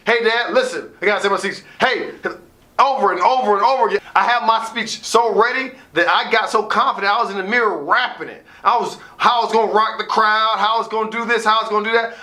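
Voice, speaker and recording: A man's voice: high voice, pushed and bright. An American man telling a personal story like a motivational speaker, theatrical, with emphatic repetition and acted-out dialogue. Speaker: motivational storyteller and student athlete advisor.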